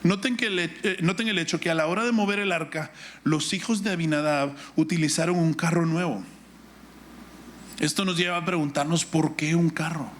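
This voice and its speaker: A middle-aged man with a Guatemalan Spanish accent, preaching a sermon in an instructive manner with rhetorical questions and repetition. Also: fairly high pitch. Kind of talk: sermon with Bible reading and personal anecdote.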